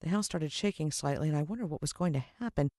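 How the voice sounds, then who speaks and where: Female American narrator for children's fiction: expressive, suspenseful storyteller, dry sound. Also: low voice, fast, dry recording. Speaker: audiobook narrator.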